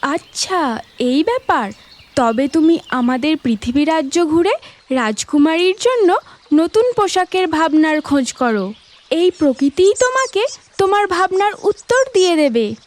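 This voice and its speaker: A woman's voice: extremely high pitch, expressive, a little slow, slight background noise. A woman voicing a kindly, dignified nature goddess in a children's cartoon, gracious and guiding. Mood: kindly, encouraging.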